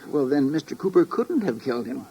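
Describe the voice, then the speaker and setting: A fussy inn clerk from a 1950s radio mystery. He has a husky, mid-pitched voice and speaks politely, hesitantly and with great precision. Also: big pitch swings, fairly quick, mellow, low-quality recording. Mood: puzzled, timid. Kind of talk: 1950s radio drama acting.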